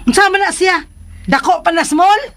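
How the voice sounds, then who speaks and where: A woman playing a protective, sharp-tongued middle-aged mother in a Filipino radio drama, with questioning, scolding interjections. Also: very high-pitched, normal speed. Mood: suspicious scolding.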